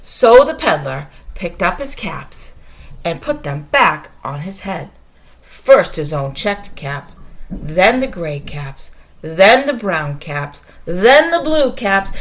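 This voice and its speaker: A woman reading a bedtime story aloud to young children as a storyteller, expressive, with dramatic pauses and questions to her listeners. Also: medium pitch, rough recording.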